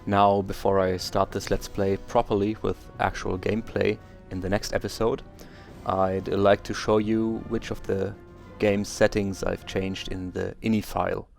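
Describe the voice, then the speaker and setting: A man's voice: on the low side, little pitch movement, unhurried, gravelly, darkish tone, faint music behind. A German man speaking English as a second language, hosting a game let's play and carefully explaining settings step by step with small hesitations. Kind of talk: video game tutorial commentary.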